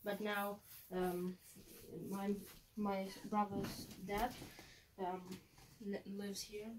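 A young girl giving a casual video tour of her home, talking in a halting way with fillers and restarts, recorded on a handheld phone. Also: medium pitch, level tone, noisy background. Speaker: house tour guide. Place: inside a house. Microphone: handheld phone camera.

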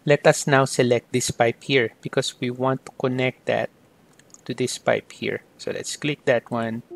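A man teaching software step by step in an explanatory screen-recorded lesson, often starting sentences with "so". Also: medium pitch, vocal fry. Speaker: software tutorial instructor.

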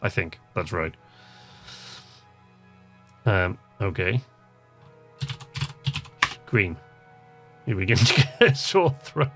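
Low-pitched man musing through a game. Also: very animated, very slow, pauses often, a bit bright, faint music behind. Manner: talks to himself, musing, easily amused. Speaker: gamer, video host. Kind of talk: casual gameplay commentary, reading text aloud.

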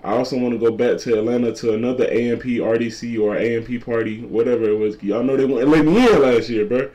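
A twenty-year-old man with a deep voice, a content creator talking casually to camera and repeating his points. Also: steady tone, fast talker, warm tone. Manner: repetitive, laid-back slangy talk, repeats lines. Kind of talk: casual vlog talk to camera.

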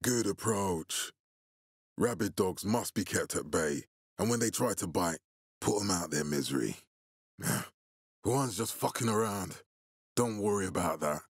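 An actor playing a stern, middle-aged military leader in a post-apocalyptic video game, speaking with formal, deliberate authority in a husky, gravelly voice. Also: male voice, low-pitched, animated, dry recording, clean studio sound, full character voice. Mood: steady, confident. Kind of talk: video game character dialogue.

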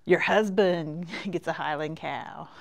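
Folksy woman vlogger, creaky low voice. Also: slow. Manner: chatty, folksy, trailing off between short remarks. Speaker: vlogger giving a shop tour. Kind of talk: casual rambling tour commentary. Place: indoors, walking through a quilt shop.

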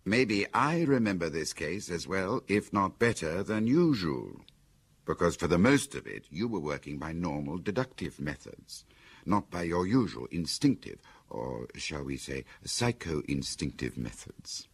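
An actor playing a measured, articulate, gently probing confidant with a husky voice in a radio drama. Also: male voice, low voice, very animated, full character voice. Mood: reflective.

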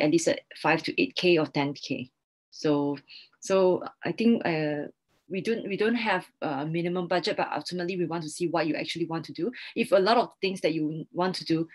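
Young woman on a video call, slightly low voice, explaining stuff in a stop-start way. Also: slightly rough. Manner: halting, repeats words as she explains. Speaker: interior design expert guest. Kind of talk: webinar question-and-answer, explaining. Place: small indoor room, video call.

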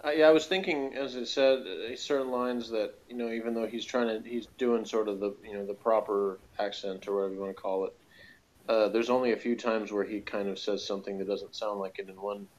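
A man co-hosting a casual review discussion, speaking in a halting, wry way. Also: on the low side, fairly even intonation, slight background noise, low-quality recording.

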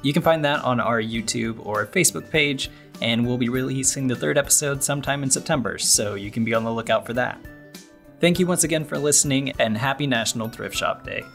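A man's voice: medium pitch, fairly quick, vocal fry, fairly bright tone, faint music behind. A man hosting a podcast, delivering scripted narration. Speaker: podcast host.

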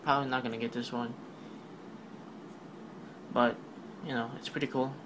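Offhand man recapping a keynote, flat voice. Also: medium pitch, normal speed, noisy background. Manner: offhand, rambling, filler-heavy. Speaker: tech video narrator. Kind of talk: casual voice-over recap of a keynote.

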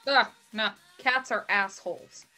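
A woman's voice: mid-range voice, measured pace, pushed and bright, faint music behind, rough recording. A young woman playing a doting, blunt, sassy fifty-year-old mother in a play reading over a video call. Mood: sardonic. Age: young adult. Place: home bedroom, indoors. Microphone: video call on computer.

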